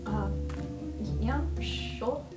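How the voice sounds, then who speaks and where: Deadpan, slightly awkward student, acted by a woman; higher-pitched, understated, roomy sound with music underneath. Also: drawn-out words. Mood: hesitant, wry. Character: dry, awkward, unimpressed student named Mistake.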